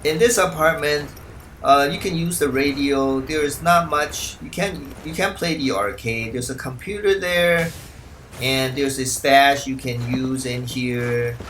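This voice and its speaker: Halting man narrating a game walkthrough, brisk delivery. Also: medium pitch, a bit bright, a little hiss or hum. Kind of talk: unscripted walkthrough commentary.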